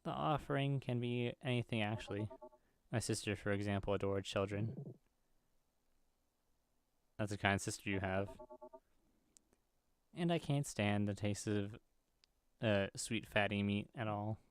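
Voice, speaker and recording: Low, clear-voiced man reading game dialogue. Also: measured pace, long pauses, no echo. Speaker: gaming video host. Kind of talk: reading visual novel dialogue aloud.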